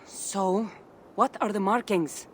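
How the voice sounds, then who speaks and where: An actress performs a wry, guarded wandering woman who hunts artifacts, in a video game cutscene. Her mid-pitched voice moves between teasing and earnest warning. Outdoor ambience and hoof noise sit behind it. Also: takes it slowly, close to an everyday voice. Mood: curious, playful.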